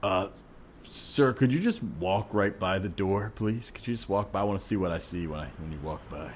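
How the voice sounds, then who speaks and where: Man as insistent husband, uneasy, lowish pitch, improvised comedy. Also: moderate pace, slight background noise, close to an everyday voice. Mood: matter-of-fact.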